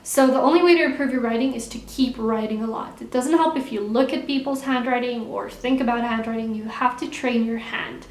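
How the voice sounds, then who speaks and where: A young woman vlogger chatting casually while answering viewer questions, relaxed and repeating words for emphasis. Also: fairly high pitch, fairly quick, hardly any pauses. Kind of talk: casual Q&A chat. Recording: echoey room.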